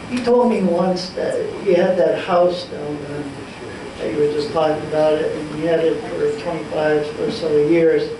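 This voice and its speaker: A man in the audience telling a brief matter-of-fact story about a local figure. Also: a little high. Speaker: audience member telling an anecdote. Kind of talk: casual anecdote. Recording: echoey hall, distant mic, noisy.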